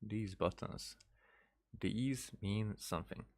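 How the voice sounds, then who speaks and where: A man giving casual gameplay commentary as a streamer, hesitant and thinking aloud in a halting way. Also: on the low side, gravelly, darkish tone. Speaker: game streamer.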